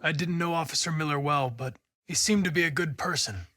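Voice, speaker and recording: A man with an American accent playing a polite, determined young android police investigator in a video game, speaking in a precise, formal, measured way. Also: a little high, bright, forceful tone. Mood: calm, polite. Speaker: actor voicing a video game character.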